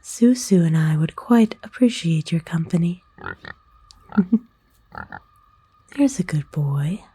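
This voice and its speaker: A woman acts out a kind witch of the woods for an ASMR roleplay. Her voice is a soft, extremely breathy whisper, mellow in tone and a little low. She speaks in a soothing, gently old-fashioned and playful way, like a caring host. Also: expressive, dry recording, full character voice. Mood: gently coaxing. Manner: soothing, folksy, unhurried. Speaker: ASMR voice actor.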